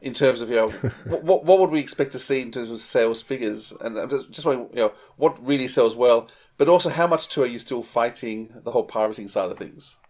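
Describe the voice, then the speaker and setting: A man's voice: mid-range voice, brisk, few pauses, darkish tone. A middle-aged man asking rambling, tentative questions in a casual podcast chat over a video call. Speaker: podcast interviewer.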